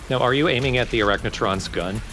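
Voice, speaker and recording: A man's voice: mid-range voice, quick pace, a bit bright, faint music behind. A man co-commentating on a video game in casual, wry banter. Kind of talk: casual let's play banter.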